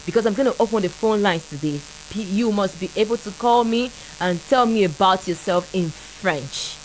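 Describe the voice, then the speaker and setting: A woman with a Nigerian accent hosting a call-in radio French lesson, laughing often and slowly repeating phrases for listeners to copy. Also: mid-range voice, sharp tone, slight background noise, rough recording. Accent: Nigerian English. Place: radio studio.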